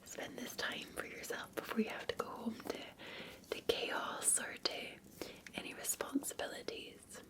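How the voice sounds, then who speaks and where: A Scottish woman whispering gently in a soothing, unhurried ASMR roleplay as a café barista. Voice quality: breathy, whispered. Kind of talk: soft-spoken ASMR roleplay.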